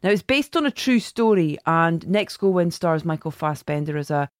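A woman hosting a podcast, reading a scripted introduction in a measured, announcing way. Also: low voice, expressive, no room sound, studio quality. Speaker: podcast host.

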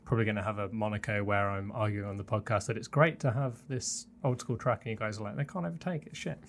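A man co-hosting a casual chat podcast, relaxed and quiet, with many fillers. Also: on the low side, fast talker, a little hiss or hum. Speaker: podcast co-host.

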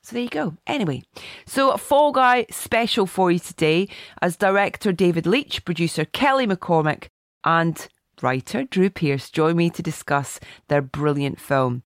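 A middle-aged woman hosting a film podcast, chatty and a bit croaky, reading out a listener's email. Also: lowish voice, animated, moderate pace, dry recording, clean studio sound. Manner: chatty, warm asides, reads listener email. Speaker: podcast host. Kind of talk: casual podcast chat.

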